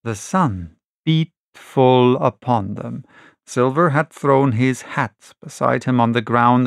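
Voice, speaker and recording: A man narrating an adventure novel as an audiobook, reading in measured, dramatic short phrases. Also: mid-range voice, creaky, no room sound, clean studio sound. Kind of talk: scripted storybook narration.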